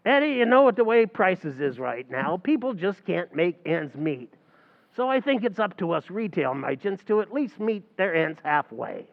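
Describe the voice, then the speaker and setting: A man acting a scheming, fast-talking tavern manager with a gravelly, streetwise voice and mangled grammar, in an old-time radio comedy recreation. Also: high voice, very animated, in a large hall, phone-quality sound, exaggerated voice. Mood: wheedling. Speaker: stage actor in a radio play recreation.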